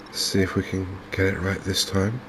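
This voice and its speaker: A man with an English accent giving soft-spoken, hesitant, unhurried gameplay commentary in an ASMR style. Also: sits low. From England. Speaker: gaming video host. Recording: clear steady background noise.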